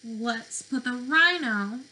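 A young adult woman reading interactively to small children as a teacher, expressive and sing-song, with questions and pauses. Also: on the high side, a little hiss or hum, low-quality recording. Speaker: speech-language pathologist presenting to preschoolers. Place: bedroom, indoors.

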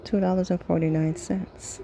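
A woman casually reading through a sales flyer item by item, pausing between phrases in an unhurried way. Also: fairly low pitch, flat delivery, gravelly, dark tone, a little hiss or hum. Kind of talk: casual product read-through.

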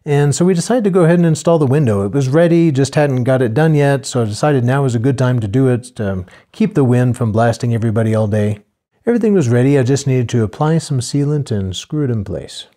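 An older man calmly narrating his own building project in a plain, matter-of-fact voice-over. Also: mid-range voice, fast. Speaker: homesteader narrating a build video.

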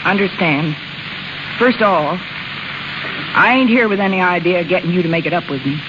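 An actress in a 1930s radio drama playing a weary, remorseful, broke carnival woman with halting, folksy speech. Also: on the low side, lightly acted. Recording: muffled, crackly 1930s radio broadcast.

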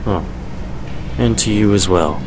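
A very low-voiced, melancholy young doctor played by a man, measured and formal. He speaks with restraint, as in a radio drama, with music clearly playing underneath. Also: natural voice. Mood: polite, reserved.

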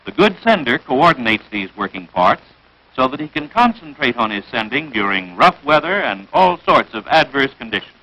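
An American man reading scripted narration for a 1940s military training film in a crisp, instructive manner, on a vintage film soundtrack. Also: medium pitch, very animated, moderate pace, sharp tone, poor audio.

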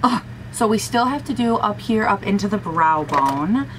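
Raspy-voiced young woman gushing over makeup. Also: mid-range voice, lively intonation, moderate pace, background noise. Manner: gushing and chatty, talks to herself. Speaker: beauty vlogger. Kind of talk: casual makeup tutorial chat. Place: small room at home, indoors.

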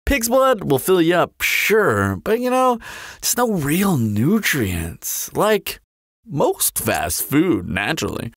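A man's voice: a little high, huge pitch swings, slow pace, a bit bright, no echo, clean studio sound, heavily acted. A man playing a flirtatious, sardonic vampire in a roleplay audio drama, talking in a glib, teasing, playful drawl. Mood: glib, casual. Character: flirtatious, sardonic male vampire toying with a slayer.

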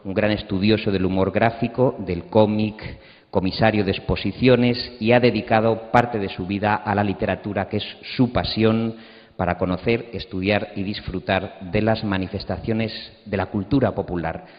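A man's voice: fairly low pitch, steady tone, moderate pace. A middle-aged man with a Castilian Spanish accent, moderating a panel and introducing its speakers into a handheld microphone on an auditorium stage. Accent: Castilian Spanish from Spain. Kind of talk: introducing panelists at a round table. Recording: muffled, reverberant auditorium recording.

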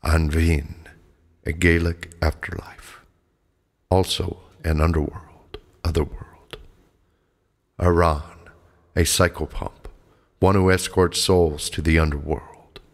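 A male audiobook narrator with an extremely low voice reads at a slow, deliberate pace. His delivery is scripted and measured, staying very even in pitch from phrase to phrase. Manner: measured, deliberate glossary reading.

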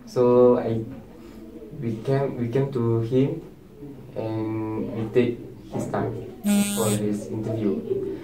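A man's voice: medium pitch, level tone, slow, long pauses. A young man, speaking hesitantly and repeating words in a student discussion. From Malaysia. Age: young adult. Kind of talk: student group reflection discussion. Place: indoor meeting room at a table. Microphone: far camera. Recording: distant echoey room with noise.

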